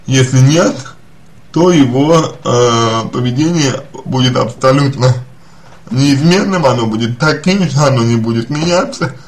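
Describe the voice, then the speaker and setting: A man giving unscripted personal advice as a psychologist, speaking deliberately and haltingly, often repeating and rephrasing his phrases. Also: medium pitch. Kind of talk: spoken advice to a listener, unscripted.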